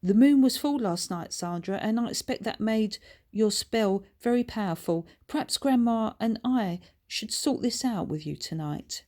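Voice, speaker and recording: A woman voicing a composed, cautious witch who gives advice in a measured, polite manner in a children's storybook. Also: mid-range voice, expressive, mellow, pitched above the performer's own voice, natural voice. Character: composed, cautious female witch advising a novice.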